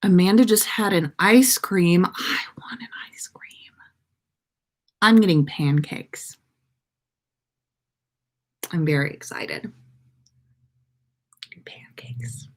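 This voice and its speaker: A woman's voice: fairly low pitch, expressive, slow pace, pauses often. An American woman hosting a casual livestream, chatting to viewers in a playful, rambling way. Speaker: podcast livestream host.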